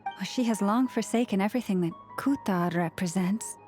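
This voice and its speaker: A woman playing a composed companion who speaks in a measured, matter-of-fact way in a game cutscene. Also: medium pitch, over music, close to an everyday voice.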